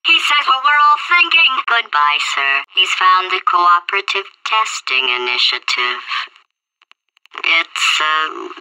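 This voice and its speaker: A woman voicing a sarcastic female computer AI stuck in a potato, with a robotic processed voice and deadpan insults. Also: on the low side, slow, clear, resonant tone, full character voice, low-quality recording. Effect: robotic synthetic processing. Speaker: video game character. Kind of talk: game voice acting.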